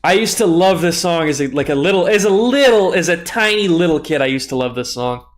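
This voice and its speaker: A man's voice: fairly high pitch, animated, brisk. Stuffy, rambling streamer guy. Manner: rambling, self-deprecating, apologetic. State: stuffy nose, tired. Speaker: game streamer. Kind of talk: casual live-stream commentary.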